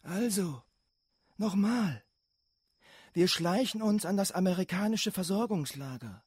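A woman's voice: medium pitch, lively intonation, measured pace, darkish tone, breathy edge, no echo, studio quality. A woman playing a bossy, streetwise young gang leader in a German radio drama, conspiratorial and commanding. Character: bossy, streetwise older kid leading petty thieves. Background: faint music.

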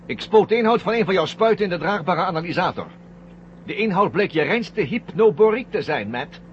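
Astronaut sidekick, kinda high voice, blunt n sardonic, suspicious, old radio drama, faint hiss. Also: male voice, expressive, fairly quick. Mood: defiant, accusing. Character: Brad, blunt, suspicious astronaut crewmate confronting his commander. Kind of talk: 1970 Dutch radio drama acting. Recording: dull, noisy 1970 radio drama recording.

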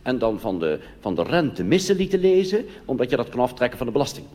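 A man's voice: mid-range voice, sing-song, quick pace, very noisy. A man performing a live comic monologue as a cabaret artist, conversational with wry asides, in a reverberant theatre. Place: theatre stage.

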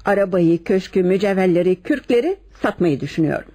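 An actress playing a soft, seductive married woman with a secret lover in a radio play, coquettish and theatrical. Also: on the low side, lively intonation, quick pace. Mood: plaintive. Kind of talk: Turkish radio theatre acting.

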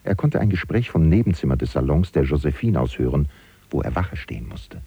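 Gossipy manservant, very deep gravelly voice. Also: male voice, lively intonation, a little fast, warm tone, dry recording, heavily acted. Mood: confiding, gossipy. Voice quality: gravelly, husky. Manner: chatty, insinuating, mock-scandalized. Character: gossipy, catty manservant of a powerful French politician. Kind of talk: German radio play monologue, confiding to the listener.

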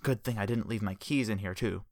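A man voicing a calm, wry male office worker facing a threat. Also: lowish voice, a little fast, no echo, studio quality, natural voice.

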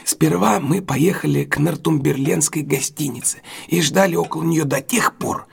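A humble working cab driver in a radio play. His voice sits fairly high, with gravel and husk in it. He speaks haltingly and respectfully, a little wary but honest. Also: full character voice. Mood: earnest recounting. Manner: servile, halting, addressing his better respectfully. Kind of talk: audio drama acting.

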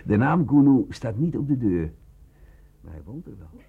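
An actor in a radio play voicing a cynical, boastful, sickly bandit with a hoarse, drawling, mocking delivery. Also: male voice, mid-range voice, very animated, normal speed, phone-quality sound, heavily acted. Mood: swaggering. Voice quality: hoarse, gravelly.

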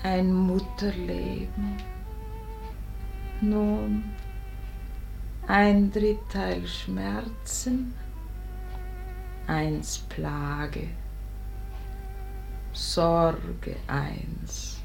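A woman's voice: medium pitch, steady tone, drawn-out words, creaky, pauses often, a little mellow. An actress playing the sorrowful, tender ghost of a mother, wistfully speaking verse in a radio play. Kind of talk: declamatory verse radio drama acting. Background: music.

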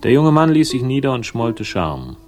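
An actor playing a world-weary, sardonic investigator in a 1960s German radio crime drama, speaking in a dry, laconic, cynical way. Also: male voice, medium pitch, big pitch swings, normal speed, darkish tone, faint music behind, natural voice. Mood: wry, disdainful. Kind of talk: 1960s radio noir narration and dialogue.

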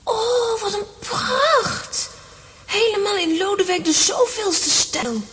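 An actress playing an excitable, stubborn and curious little girl with a Flemish accent, exclaiming dramatically in a children's audio drama. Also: extremely high pitch, slow, full character voice. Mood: awed.

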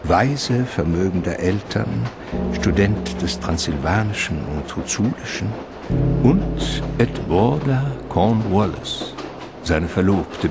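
A man narrating a radio drama in a measured, atmospheric, literary manner. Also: low voice.

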